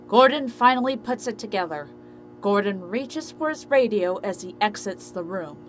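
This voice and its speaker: A woman's voice: medium pitch, expressive, normal speed, soft background music. A woman reading scripted narration for an amateur audio drama story.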